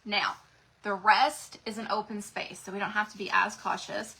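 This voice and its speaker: A woman's voice: medium pitch, level tone, moderate pace, gravelly, sharp tone, rough recording. A woman, chatting folksily with viewers while demonstrating crafts live from home. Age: 40s. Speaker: craft channel host.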